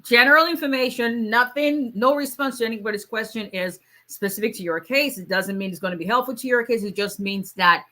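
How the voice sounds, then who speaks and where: Bright-voiced woman attorney. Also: fairly high pitch, a little fast, few pauses. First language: Yoruba. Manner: conversational, many fillers, reads out viewer questions. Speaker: immigration attorney. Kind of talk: live Q&A stream.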